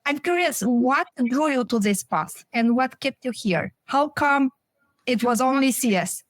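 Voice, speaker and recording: A woman's voice: sits high, animated, measured pace, dry recording. A woman hosting a podcast, speaking as an interviewer. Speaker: podcast host.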